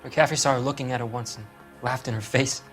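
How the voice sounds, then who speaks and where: An actor playing a nervous, defensive young murder suspect in a video game, hesitant and pleading. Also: male voice, medium pitch, steady tone, fast talker, fairly bright tone, faint music behind, lightly acted. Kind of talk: dramatic video game acting.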